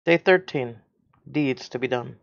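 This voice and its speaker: A man reading scripted story diary entries as a narrator, in a measured, formal manner. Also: mid-range voice, no echo, dull audio. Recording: loud music behind.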